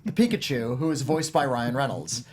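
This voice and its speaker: A man's voice: mid-range voice, big pitch swings, moderate pace, slightly rough. A man hosting a film discussion, explaining things at length in a wry, conversational way. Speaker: host of a film review panel show.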